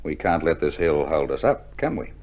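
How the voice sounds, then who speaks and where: A hearty army officer in a vintage radio drama, with a low voice that swings expressively. He briefs his men with jovial understatement, on a slightly muffled old vinyl recording. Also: very animated, normal speed, darkish tone, exaggerated voice. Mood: calm, businesslike. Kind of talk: 1960s radio drama acting.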